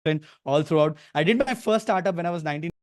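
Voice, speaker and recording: A man's voice: a little high, fast talker, no room sound, studio quality. A man with an Indian accent, a native Telugu speaker from eastern India, hosting a live online workshop in an emphatic, informal way with repeated words and rhetorical questions. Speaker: online workshop host and entrepreneur. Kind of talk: live online presentation to an audience.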